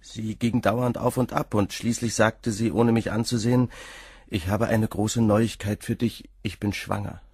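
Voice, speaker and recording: An agitated young man under police questioning in a radio drama. His voice is low and husky, recorded dry, and his answers come out hesitant and anxious. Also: steady tone, measured pace, darkish tone, dry recording. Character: nervous young man, pale, agitated suspect. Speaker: suspect being questioned.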